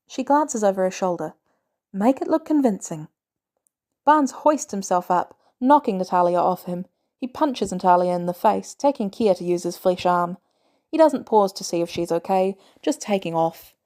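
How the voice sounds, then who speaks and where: A woman reading fiction aloud as an audiobook narrator, in an expressive storytelling style. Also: medium pitch. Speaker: audiobook reader.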